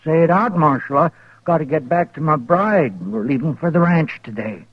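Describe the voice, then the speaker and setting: An actor playing a lovestruck, stubborn rancher over sixty with a rural American West accent in an old-time radio western. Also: male voice, a little high, expressive, normal speed, slightly rough, dry recording. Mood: indignant. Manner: folksy, indignant. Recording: dull vintage radio recording.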